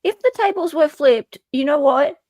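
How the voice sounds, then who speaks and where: A woman's voice: sits high, expressive, moderate pace, darkish tone, dry recording. An Australian-accented woman hosting casual reaction commentary in a blunt, incredulous, sweary way. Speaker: host.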